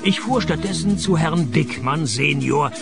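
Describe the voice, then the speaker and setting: An actor playing a laconic male private detective, narrating his case in a dry, deadpan radio play style. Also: on the high side, measured pace, creaky, loud music behind the voice.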